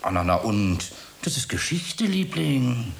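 An actor playing an earnest, pedantic bank clerk in love, hesitant and plaintive, repeating himself in a German radio play. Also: male voice, medium pitch, takes it slowly, gravelly, heavily acted. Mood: eager, insistent. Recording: steady background hiss.

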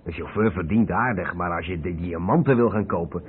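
A man's voice: sits low, brisk, a little hiss or hum, natural voice. A man acting a cautious, fatherly older lorry driver in a Dutch radio play. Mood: calm, admonishing. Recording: tinny, band-limited old radio recording.

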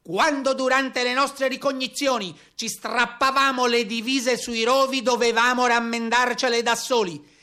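An actor playing a young, poorly paid carabiniere who recalls hard service in a declaimed theatrical monologue. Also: male voice, extremely high pitch, fairly even intonation, measured pace, bright, forceful tone, full character voice. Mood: aggrieved recounting.

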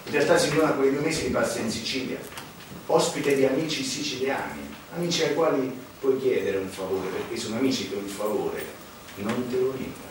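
A man's voice: mid-range voice, brisk, a little hiss or hum, close to an everyday voice. Sardonic stage storyteller played by a man, heavy echo. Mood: ironic, insinuating. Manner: emphatic, ironic, rhetorical. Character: sardonic insider narrating political scandal. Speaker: stage actor, narrator. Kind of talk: theatrical narration. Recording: echoey stage, distant mic, music behind.

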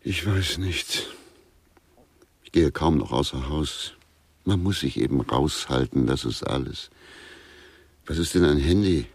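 An actor playing a fretful, reclusive older man with a husky voice, who rambles and complains in a radio play. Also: extremely low pitch, unhurried, pauses often, a little mellow, no echo, exaggerated voice. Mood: weary, detached. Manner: rambling, complaining, losing his thread. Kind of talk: German radio play dialogue.

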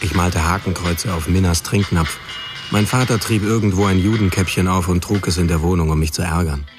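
A dry memoirist narrates a radio play, acted by a man. His voice sits low, and he tells of misfit youth bluntly and sardonically. Music is clearly heard behind him. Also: fairly even intonation, fairly quick, natural voice. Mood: dry, sardonic. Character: male narrator drily recalling his misfit, provocative teenage years. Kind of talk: scripted first-person radio drama narration.

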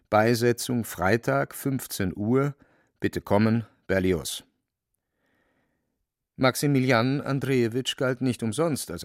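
A man narrating a literary radio play in a measured, wry manner. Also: medium pitch, vocal fry, no room sound, studio quality. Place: studio, very dry.

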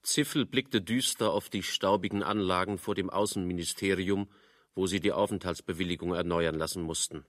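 Very low, dry male narrator. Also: fairly even intonation, normal speed, fairly bright tone, no echo. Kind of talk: scripted literary narration in a radio play.

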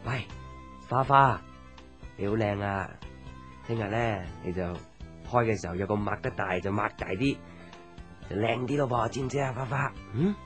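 A man's voice: lowish voice, normal speed, pauses often, close to an everyday voice. An actor with a Hong Kong Cantonese accent playing a playful, teasing young man, a caring friend, in a light conversational radio drama. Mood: playful, mischievous. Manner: teasing, joking, animated with exclamations. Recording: music under the voice.

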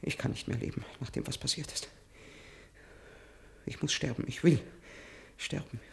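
An actor playing a gravely wounded, stubborn and vengeful man in a German radio drama, weak and in pain, with a breathy, strained, halting delivery. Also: medium pitch, a little hiss or hum. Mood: bitter resolve. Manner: halting, labored, resolute.

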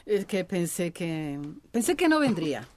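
An actress playing a hesitant, flustered village herbalist who repeats herself haltingly in a radio drama. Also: female voice, fairly low pitch, very animated, darkish tone, a little hiss or hum.

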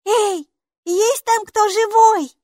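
A woman voicing a brave, bossy little girl in an audiobook, speaking in a high, exclaiming child voice. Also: fairly even intonation, slow pace, dark tone, dry recording, clean studio sound. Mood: excited. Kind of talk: audiobook character reading.

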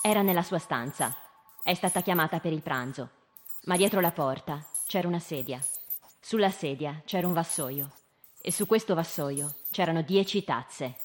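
An actress playing a stern, insistent storyteller who questions children in a live radio drama. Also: female voice, deep voice, normal speed, lightly acted. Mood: storytelling. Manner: short, pressing questions. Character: stern adult woman storyteller interrogating children, insistent and accusing. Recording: very reverberant hall, music behind.

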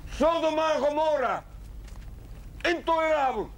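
An actor playing an agitated, self-righteous chaplain in a Dutch television drama, speaking in clipped, indignant phrases. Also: male voice, very high voice, a little slow, gravelly, heavily acted, poor audio. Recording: faint background noise, television soundtrack.

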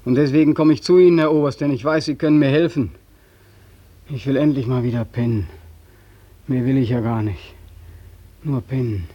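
An actor playing a despairing, bitterly mocking young soldier home from war, insistent and repetitive, in a 1940s German radio play. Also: male voice, medium pitch, normal speed, pauses often, warm tone, slightly rough, highs cut off, natural voice. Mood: weary, pleading. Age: young adult. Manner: impassioned, insistent, repeating phrases.

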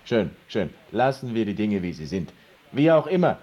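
Ein Schauspieler spielt in einem deutschen Hörspiel einen bestimmten, leicht resignierten Mann. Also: male voice, lowish voice, sing-song, normal speed, mellow, slightly rough, lo-fi sound. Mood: brisk, resigned. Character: brisk, resigned man giving instructions. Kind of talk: German radio drama acting.

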